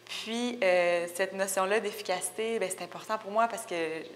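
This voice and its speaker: A woman's voice: mid-range voice, steady tone, normal speed. A young woman from Rimouski with a Québécois accent, a visual artist explaining her work in a thoughtful, searching way. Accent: Québécois French. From Rimouski, Quebec. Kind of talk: casual interview about her work. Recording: long reverb, faint background noise.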